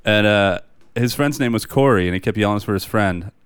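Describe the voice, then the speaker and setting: A man's voice: fairly low pitch, brisk, clean tone, dry recording. A man co-hosting a podcast, rambling in casual movie talk, swearing easily and laughing often. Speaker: podcast co-host. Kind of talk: casual movie-review chat.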